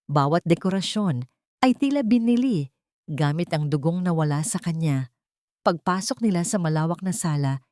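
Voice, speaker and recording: A woman narrator reads a scripted drama. Her voice sits a little low and moves expressively through each sentence. The recording is close and dry. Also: darkish tone, dry recording.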